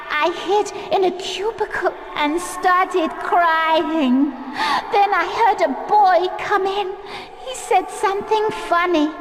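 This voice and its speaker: Game role: self-pitying British ghost schoolgirl, piercingly high, slow theatrical wailing, cavernous reverb. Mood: morbid relish. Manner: drawn-out, woeful, theatrical delivery. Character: mopey teenage girl ghost, self-pitying and dramatic. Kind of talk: video game character acting. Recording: long hall-like reverb.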